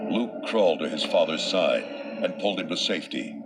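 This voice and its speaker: A man's voice: very deep voice, lively intonation, moderate pace, over loud music. A man reading scripted, dramatic storybook narration in a suspenseful storytelling manner.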